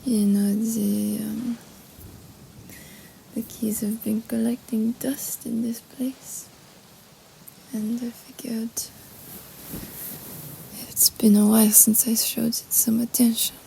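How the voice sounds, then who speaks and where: A woman playing a gentle French roommate who hides her sadness, speaking in a hesitant, breathy half-whisper with a French accent in an intimate roleplay audio drama. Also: on the high side, level tone, slow pace, long pauses, slight background noise, exaggerated voice, low-quality recording. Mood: evasive.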